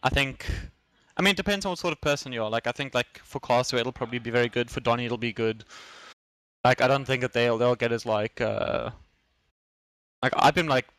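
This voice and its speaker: A man's voice: mid-range voice, fairly quick, a bit bright, no echo. A young man with a South African accent chatting casually as a podcast guest, in a hesitant, repetitive, slangy way. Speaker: podcast guest, esports player. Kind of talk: casual chat.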